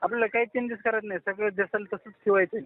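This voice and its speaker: High-pitched Marathi man on a tinny phone call. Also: fairly even intonation, fast. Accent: Marathi, Maharashtra. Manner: repeats instructions insistently. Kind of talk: casual phone conversation.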